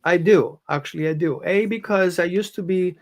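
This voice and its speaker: A man's voice: high-pitched, normal speed. A man, a dog behavior consultant explaining on a live show with phrasing and quoted examples. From Greece and Austria.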